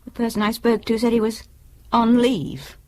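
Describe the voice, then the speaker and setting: An actress playing a worried, wronged middle-aged British wife and mother in a naturalistic radio drama, with a husky voice. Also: a little high, level tone, normal speed, a little mellow, lightly acted. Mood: fretful.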